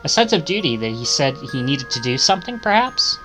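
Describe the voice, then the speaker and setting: A man's voice: medium pitch, very animated, a little fast, bright, forceful tone, faint music behind, low-quality recording. A man streaming a visual novel, reading it aloud in English with dramatic narration.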